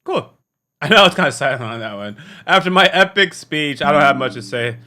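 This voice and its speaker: A man's voice: on the high side, animated, a little fast, bright, forceful tone. A man hosting a reaction video, commenting casually in a slangy, musing way. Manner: slangy, musing, repeats lines he likes.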